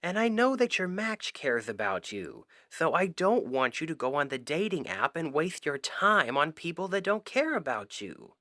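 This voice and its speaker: Man playing an expressive exasperated Cupid best friend. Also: on the high side, moderate pace, few pauses, dry recording, clean studio sound. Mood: hesitant, earnest. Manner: expressive, conversational, emphatic. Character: exasperated, secretly smitten young male Cupid best friend. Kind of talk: ASMR roleplay audio drama, one-sided conversation.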